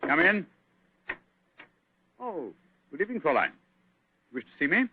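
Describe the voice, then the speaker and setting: An actor playing a brilliant, sharp male English detective, crisp and commanding in 1940s radio acting style, on a tinny old radio broadcast. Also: a little high, big pitch swings, normal speed. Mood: brisk.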